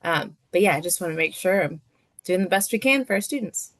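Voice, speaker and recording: A woman teacher educator introduces herself on a video call, upbeat and chatty. Her voice sits on the low side. The sound is dry with no room echo. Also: lively intonation, fairly quick. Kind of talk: casual self-introduction.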